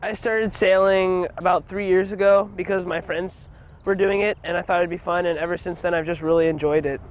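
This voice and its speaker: A man's voice: high-pitched, steady tone, brisk, a little hiss or hum. A teenage boy, a student sailor, answering interview questions earnestly outdoors at a school. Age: teens.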